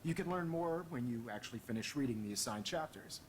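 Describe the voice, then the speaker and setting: A man's voice: mid-range voice, lively intonation, fast, slight background noise, close to an everyday voice, rough recording. A man playing a smug, condescending art teacher in a video game, with a theatrical, lecturing manner and a menacing edge. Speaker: photography teacher.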